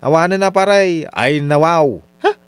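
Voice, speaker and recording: A man's voice: fairly high pitch, a little slow, clean tone, no room sound, close to an everyday voice. A Filipino man with an Ilocano accent acting in a radio drama as a carefree, lazy young womanizer. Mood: animated banter.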